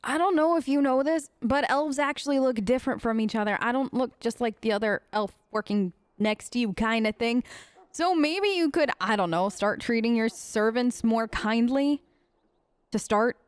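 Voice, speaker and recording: A woman with a fairly high voice plays and reacts in character as a let's play host. She is informal and wry, recorded close and very dry. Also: normal speed, clean tone, no echo. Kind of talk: casual in-character gaming commentary.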